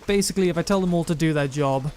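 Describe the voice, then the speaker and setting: A young man with a self-described monotone voice, rambling through gameplay commentary and viewer comments, often correcting himself mid-sentence. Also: a little high, very fast, a little hiss or hum. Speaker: gaming YouTuber.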